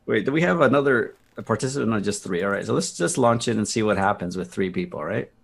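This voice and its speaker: A man guesting on a live stream, chatting casually and demonstrating software over a video call. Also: fairly low pitch, expressive, fast talker, vocal fry. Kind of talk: casual chat and software demo.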